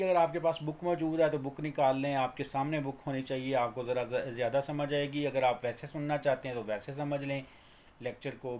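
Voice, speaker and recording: Man, Pakistani accent, medium pitch, informal law lecturer, explanatory, repeats and rephrases, mixes in English terms.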